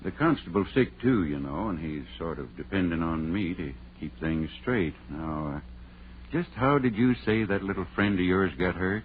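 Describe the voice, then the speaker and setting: A stern older country doctor in a vintage radio drama. He questions with folksy, skeptical insistence in a deep voice with wide swings of emphasis. The recording is thin and tinny with faint hum. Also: expressive, normal speed. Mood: matter-of-fact. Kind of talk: 1940s radio horror acting.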